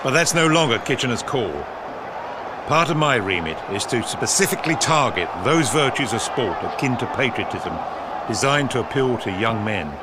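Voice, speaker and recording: An actor playing a gruff, opinionated senior government official in a period audio drama, clipped, dry and authoritative. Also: male voice, mid-range voice, lively intonation, moderate pace, natural voice. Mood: brisk, cautioning. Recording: outdoor sports crowd and noise behind.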